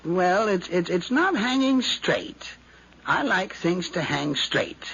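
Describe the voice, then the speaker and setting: Cranky fussy old man, really gravelly creak, pitch all over, old noisy radio play. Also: very animated, slow pace, fairly bright tone, full character voice. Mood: fussy, testy. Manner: testy, exacting, sardonic. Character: irritable, fussy elderly uncle. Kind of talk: 1950s radio drama acting. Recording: dull, noisy 1950s radio recording.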